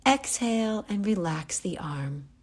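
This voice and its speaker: A woman guiding a yoga nidra relaxation, giving calm, gentle, unhurried instructions with pauses. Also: mid-range voice. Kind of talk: guided relaxation meditation.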